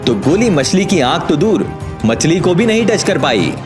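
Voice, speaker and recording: A man narrates a scripted audio drama with suspense and emphasis. His voice is fairly high and swings widely in pitch. Soft music runs underneath. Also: big pitch swings, normal speed. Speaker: story narrator.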